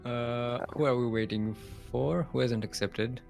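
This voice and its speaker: A young man with a Singaporean accent, casting a live strategy game match in an animated, chatty way with frequent laughs. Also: medium pitch, measured pace, gravelly, faint music behind. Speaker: esports caster.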